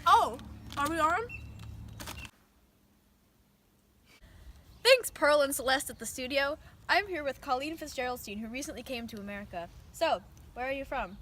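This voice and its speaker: A teenage girl playing an eager young street reporter, brisk and gushing, in an amateur newscast skit. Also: high-pitched, animated, fairly bright tone. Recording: noisy amateur outdoor recording.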